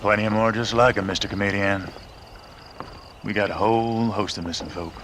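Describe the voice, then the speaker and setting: Low-voiced, sour frontier lawman, noisy outdoors. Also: steady tone, moderate pace, fairly bright tone. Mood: stern, grim. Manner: sour, reproachful, plain-spoken. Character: stern, weary frontier lawman, humourless and scolding. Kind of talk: dramatic video game acting.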